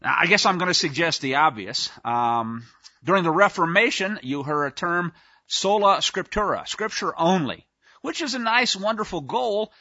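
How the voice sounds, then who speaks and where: A man's voice: high-pitched, expressive, normal speed, sharp tone, dry recording, muffled recording. A male preacher giving an informal teaching sermon in a folksy, conversational way with sarcastic asides.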